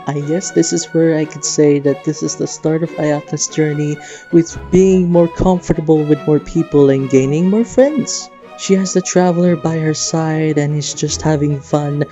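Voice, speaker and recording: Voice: mid-range voice, fairly even intonation, moderate pace, warm tone, highs cut off. A young adult narrating a personal, sentimental video essay about a game in an earnest way. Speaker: video creator and host. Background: guitar music.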